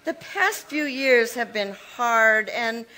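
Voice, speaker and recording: A woman voicing a scheming, fretful spinster who stammers and frets to spread gossip. Her high voice swings up and down, bright and sharp. It is pure 1940s radio drama. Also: lively intonation, heavily acted, poor audio. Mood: pleading. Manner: halting, stammering show of distress. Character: scheming, nervous-seeming spinster sister. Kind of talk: live recreation of 1940s radio mystery acting.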